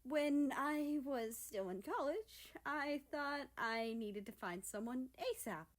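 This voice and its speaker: Casting: a woman as a bashful, smitten young storybook woman, earnest and hesitant, pitched up. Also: animated, slow. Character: shy, lovestruck young female country, giggly and hesitant.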